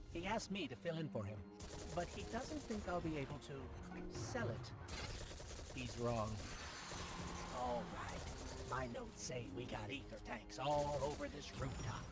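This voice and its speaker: An actor playing an eager, chatty male sidekick in a video game, earnestly trying to sound tough and correcting himself as he goes. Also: medium pitch. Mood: playful, coaching. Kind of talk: scripted video game mission dialogue. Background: music.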